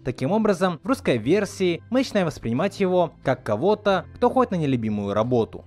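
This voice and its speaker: A man's voice: fairly high pitch, sing-song, fast, a little mellow. A man narrating a scripted video essay as a host, with a commentator's delivery. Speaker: video host reviewing film dubbing. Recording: voice-over with music underneath. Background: background music.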